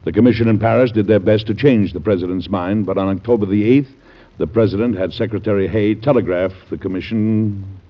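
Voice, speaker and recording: Dignified, warm radio-drama president played by a male actor, very low measured voice, dull vintage sound. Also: lightly acted. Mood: measured storytelling. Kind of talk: 1940s radio drama acting and narration. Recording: muffled old radio recording.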